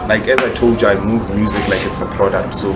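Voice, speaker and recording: Prominent music plays behind a man with a lowish voice. He talks as a guest artist on a podcast, in a casual, slangy way, often repeating a point for emphasis. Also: fairly even intonation, fast talker. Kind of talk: casual chat.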